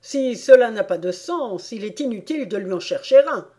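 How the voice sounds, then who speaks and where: A woman's voice: medium pitch, expressive, normal speed, mellow, natural voice. A woman voicing a pompous, self-satisfied king of playing cards in a storybook, speaking in grand declarations. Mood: pompous, pondering.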